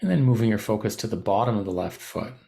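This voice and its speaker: A man leading a guided body scan meditation as a teacher, giving gentle, unhurried instructions with pauses mid-phrase. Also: on the low side, animated, gravelly.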